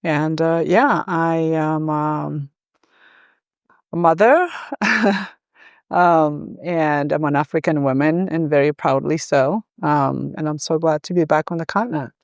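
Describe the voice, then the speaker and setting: Middle-aged woman guest, measured and hesitant, clean resonant tone, dry close sound. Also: deep voice, no echo, lo-fi sound. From Rwanda, raised in Uganda. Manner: thoughtful, hesitant with many ums. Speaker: interview guest, gender professional. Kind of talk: conversational interview.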